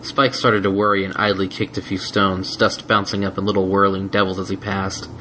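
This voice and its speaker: A man's voice: sits low, level tone, brisk, pushed and bright, low-quality recording. A man reading a scripted story aloud as an audiobook narrator, with faint noise on the recording. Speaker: audiobook reader.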